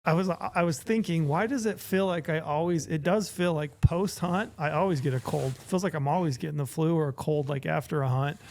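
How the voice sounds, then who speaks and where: A man's voice: on the high side, fairly quick, hardly any pauses, darkish tone, a little hiss or hum. A man co-hosting a casual podcast, talking loosely and correcting himself as he goes. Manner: rambling, self-correcting, laughs often. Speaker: podcast co-host.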